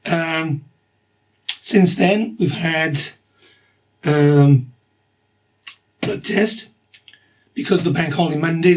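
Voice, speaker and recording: Vlogger, middle-aged man, husky with a slight cough, rambling and matter-of-fact, voice sits a touch high. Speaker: vlogger documenting his cancer treatment. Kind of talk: casual video diary.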